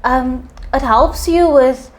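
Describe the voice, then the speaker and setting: A woman from Cape Town, answering interview questions gently, with frequent pauses. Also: high voice, a little hiss or hum. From Cape Town, South Africa. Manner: soft-spoken, gentle, many "um" pauses. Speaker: fashion designer guest.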